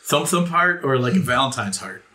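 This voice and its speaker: A man's voice: medium pitch, big pitch swings, normal speed, pushed and bright. A man talking casually as a player in a tabletop role-playing game podcast. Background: background music.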